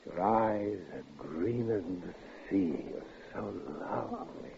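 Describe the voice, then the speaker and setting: An actor in a 1950s radio drama playing an obsessive, lonely man who threatens a woman with a knife, his soft crooning flaring into shrill outbursts. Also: animated, takes it slowly, vocal fry, full character voice. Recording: tinny old radio broadcast with faint hiss.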